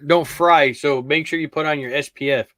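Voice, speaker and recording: A man's voice: on the high side, steady tone, brisk, a bit bright, no room sound. A man co-hosting a podcast in casual panel chat. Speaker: podcast co-host.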